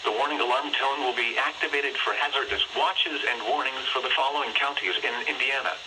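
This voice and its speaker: A man's voice: medium pitch, steady tone, a little fast. An American man's synthetic voice reading scripted public weather warning announcements over the radio. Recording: very noisy weather radio reception.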